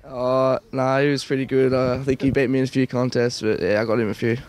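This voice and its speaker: A young man with an Australian accent, an athlete giving plain, halting answers in a casual interview. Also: mid-range voice, little pitch movement, a little mellow, slight background noise. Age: young adult. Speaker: junior Australian rules footballer.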